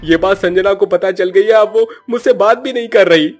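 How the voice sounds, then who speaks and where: A man's voice: high voice, normal speed, soft background music, pitched above the performer's own voice, close to an everyday voice. A man doing a furious young husband. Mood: angry. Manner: loud, accusing. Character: angry young husband, resentful son.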